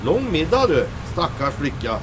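An actor playing a flustered, slow-witted seaman husband in a comedic audio drama, excitable and stammering. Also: a little high, background noise. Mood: chatty.

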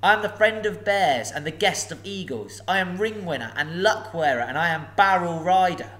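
A man voicing a small, clever male hobbit thief, courteous and flattering. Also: a little high, normal speed, pitched above the performer's own voice.

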